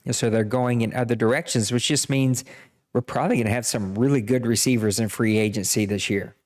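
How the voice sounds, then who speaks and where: Guy running a live draft stream, rattles off player stats, jokes at himself, mid pitch. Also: fairly even intonation, a little fast, a little mellow. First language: Cajun French. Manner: reads player stats, self-deprecating jokes. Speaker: podcast host running a draft. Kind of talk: casual live-stream commentary.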